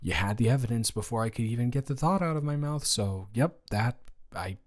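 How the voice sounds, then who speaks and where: A man's voice: medium pitch, fairly quick, close to an everyday voice. A young man playing a shy, good-natured college dormmate in a romantic audio roleplay, stammering and rambling hesitantly. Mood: sympathetic, teasing. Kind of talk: intimate romantic audio roleplay, one-sided conversation.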